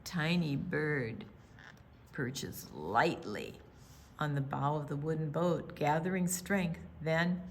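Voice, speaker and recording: An American woman reading a picture book aloud for young children, with dramatic pauses, hushed asides and emphatic delivery. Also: deep voice, lively intonation, a little hiss or hum. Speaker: children's storytime reader at a library.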